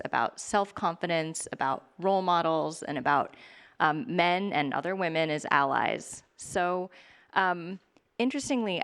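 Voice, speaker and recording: A woman's voice: low voice, fairly bright tone, clean tone. A woman speaking as a panelist, earnest and enthusiastic, with well-organized points. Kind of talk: panel discussion.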